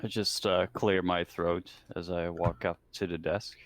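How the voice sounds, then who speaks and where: A man's voice: sits low, level tone, measured pace, no room sound. A man playing a tabletop roleplaying game, casually describing what his character does.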